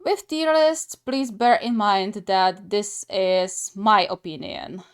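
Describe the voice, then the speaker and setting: A woman giving casual, chatty reaction commentary as the host of a gaming channel, often giggly and quick to add disclaimers. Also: mid-range voice, smooth.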